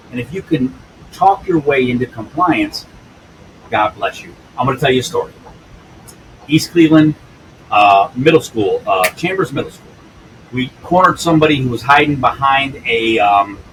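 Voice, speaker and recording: A blunt, earthy man in his fifties explains police work in an interview. He tells stories plainly at a middle pitch and keeps checking in with "right?". A faint background noise sits under his voice. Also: a bit bright, poor audio. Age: 50s. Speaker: police use-of-force instructor. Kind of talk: storytelling in an interview.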